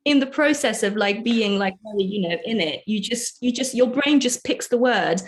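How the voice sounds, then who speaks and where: A woman's voice: on the high side, lively intonation, moderate pace, slight background noise. A young woman from Hungary, a dancer and choreographer, talking casually as a podcast guest and often searching for words with fillers. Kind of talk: casual interview chat.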